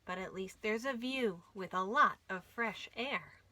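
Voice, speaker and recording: A woman voicing a weary, wistful female caboose who longs for quiet in a children's storybook. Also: mid-range voice, unhurried, background noise, lightly acted. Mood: wistful sighing. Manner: sighing, complaining. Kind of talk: children's storybook read-aloud.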